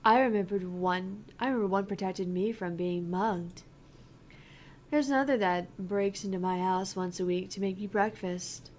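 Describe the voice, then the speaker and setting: A woman's voice: mid-range voice, normal speed, darkish tone, natural voice. A woman voicing a cheerful, airy young female pop idol in a video game, earnest and breezy. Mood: proud, matter-of-fact. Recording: clear background noise.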